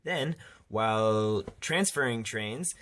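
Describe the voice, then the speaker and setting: A man's voice: lowish voice, animated, slow pace, a bit bright, slight background noise. A young man telling a casual vlog story in an exasperated, self-deprecating way. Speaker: vlogger.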